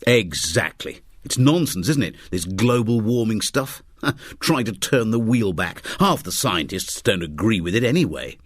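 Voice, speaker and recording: A man's voice: on the low side, animated, measured pace, dry recording, clean studio sound. A man voicing a self-satisfied, irritable businessman in a story, blustering and indignant. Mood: dismissive.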